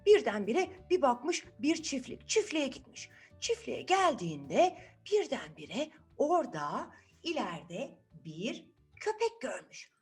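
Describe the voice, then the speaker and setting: Animated high-voiced woman storyteller. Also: slow pace, soft background music. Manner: chatty, rhetorical questions, repeats words for effect. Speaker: children's storyteller. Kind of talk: animated fairytale telling with asides to children.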